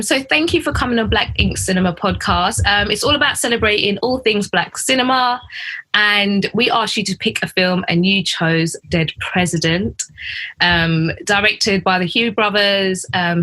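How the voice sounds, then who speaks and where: A woman's voice: mid-range voice, expressive, normal speed, bright, forceful tone, rough recording. A woman hosting a podcast interview, friendly and giggly, with lots of ums. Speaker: podcast host.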